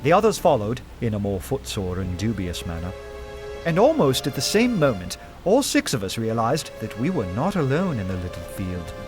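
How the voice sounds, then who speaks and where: An actor playing an earnest, awestruck detective who narrates a radio drama in an intense, dramatic manner. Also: male voice, medium pitch, wildly swooping pitch, moderate pace, music in the background. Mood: storytelling. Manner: intense, dramatic, hushed at times.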